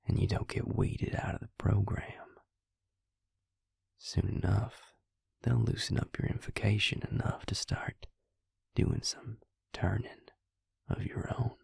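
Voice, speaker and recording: Audio roleplay role: devious vampire recruiter, played by a man. Slow, gravelly, breathy male voice, coolly menacing, close and dry. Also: lowish voice, fairly even intonation, a little mellow, no echo, exaggerated voice. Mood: smug, explaining. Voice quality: husky, breathy, gravelly half-whisper. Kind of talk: intimate whispered audio roleplay, addressed to the listener. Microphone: close, dry microphone.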